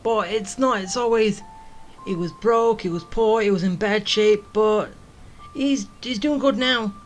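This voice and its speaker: A woman's voice: mid-range voice, measured pace, low-quality recording. Woman gamer chatting over music. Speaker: gaming streamer. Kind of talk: casual playthrough commentary. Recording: game music behind the voice.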